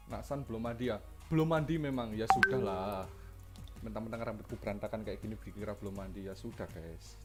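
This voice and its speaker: A man chatting casually with his stream viewers, relaxed and self-deprecating. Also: lowish voice, fast talker, over loud music. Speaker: streamer co-watching a broadcast.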